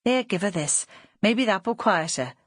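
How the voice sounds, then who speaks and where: A woman's voice: mid-range voice, lively intonation, normal speed, breathy edge, dry recording, studio quality. A woman narrator voicing a harsh, impatient workhouse woman without sympathy in an audiobook. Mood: irritated, scolding.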